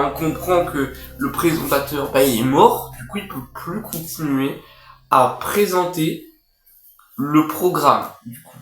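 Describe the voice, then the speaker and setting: Echoey, casual man doing slangy commentary. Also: a little high. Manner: slangy, informal. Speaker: video host, reactor and analyst. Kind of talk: casual reaction and analysis commentary. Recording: echoey room, music behind. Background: music.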